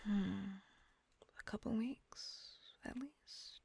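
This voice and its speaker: Woman voicing a husky whispering vampire mistress. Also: medium pitch, extremely slow, no echo, heavily acted. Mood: soft doting. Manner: soft breathy whispering, coaxing. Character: kind doting female vampire mistress, gentle and possessive. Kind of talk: audio roleplay.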